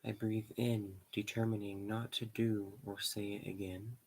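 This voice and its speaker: A man leading a guided meditation in slow, measured short phrases with pauses between them. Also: lowish voice, flat delivery, darkish tone, slight background noise, rough recording.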